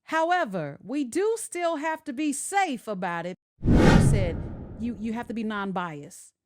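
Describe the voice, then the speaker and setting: A woman's voice: on the high side, expressive, measured pace, darkish tone. A woman hosting a radio talk show in a chatty, conversational way, speaking directly to her listeners.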